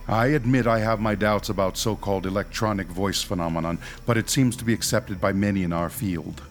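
A man playing a proud, dignified former priest who leads ghost hunters in a radio horror drama, speaking in a formal, measured way. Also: lowish voice, soft background music, close to an everyday voice. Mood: composed, grave.

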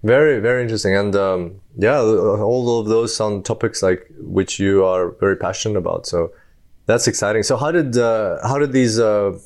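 A man's voice: fairly low pitch, moderate pace, a little mellow. A man hosting a podcast, introducing and interviewing a guest in an enthusiastic, chatty way. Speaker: podcast host. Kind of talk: upbeat interview chat.

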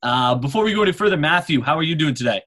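A man in his twenties from America, hosting a casual podcast and talking in a halting way with many pauses and fillers. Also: on the high side, expressive, a bit bright, a little hiss or hum. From United States. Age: 20s. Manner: hesitant, lots of fillers. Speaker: podcast host.

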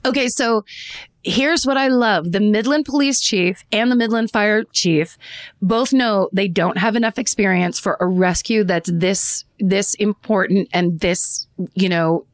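A woman co-hosts a podcast and walks through a true story in a loose, conversational way. She trips over her words, restarts her sentences and laughs easily. Her voice sits at a middle pitch, and the recording is dry and close. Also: a little slow, dry recording. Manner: chatty, self-interrupting, laughs often. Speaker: podcast co-host. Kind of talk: casual true-crime storytelling.

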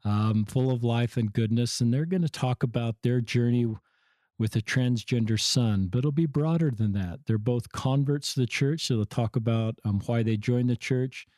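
A man hosting an interview podcast, introducing his guests in a gentle, rambling way. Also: medium pitch, normal speed, no room sound, clean studio sound. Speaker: podcast host.

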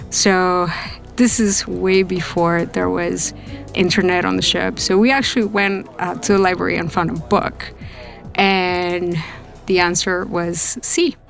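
Low-pitched, slightly creaky woman storyteller. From Lima, Peru. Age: late 30s. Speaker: storytelling guest, navy officer and psychiatrist. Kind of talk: personal storytelling.

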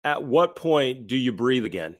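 Mid-pitched man interviewing casually. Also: big pitch swings, normal speed. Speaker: podcast host, interviewer.

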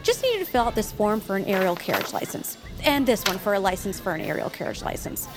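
A woman's voice: medium pitch, big pitch swings, fast talker, music in the background, lightly acted, poor audio. A woman playing a dry, officious small-town official creature, bureaucratic and matter-of-fact, in cartoon audio fiction.

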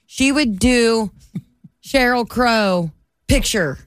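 A woman with a deep, raspy voice co-hosting a morning radio show, teasing and laughing. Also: extremely slow, no echo. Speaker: radio co-host.

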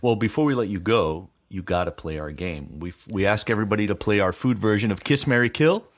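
A man's voice: lowish voice, lively intonation, a little fast. A man hosting a casual interview, informal, often repeating words as he works toward a question. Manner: informal, stop-and-start phrasing with repeated words. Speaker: podcast host.

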